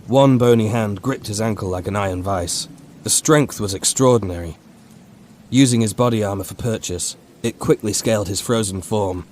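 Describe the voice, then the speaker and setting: A man with a very low voice narrates a horror audiobook. His delivery is measured and dramatic, recorded with faint noise behind it. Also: darkish tone.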